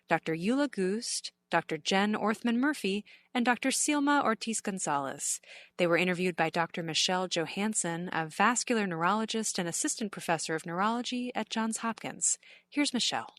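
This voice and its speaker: A woman hosting a medical podcast, reading a smooth, even scripted introduction. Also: mid-range voice, no room sound, clean studio sound. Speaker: podcast host.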